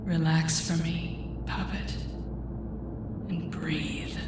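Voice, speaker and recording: Actress as controlling telepath villainess: lowish, measured, condescending, intimate delivery over noticeable background sound. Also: female voice, level tone, heavy background noise. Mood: hushed, commanding. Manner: condescending, sardonic, commanding. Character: telepathic villainess, sardonic, controlling ex-hero turned traitor. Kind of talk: intimate audio roleplay acting.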